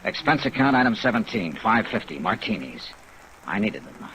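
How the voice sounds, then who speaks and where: An actor with an American accent playing a hard-boiled yet kindly insurance investigator in a 1950s radio detective drama, clipped and wry. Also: male voice, on the low side, normal speed, low-quality recording. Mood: wry, brisk. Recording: old 1950s radio recording, rain noise. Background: steady rain sounds.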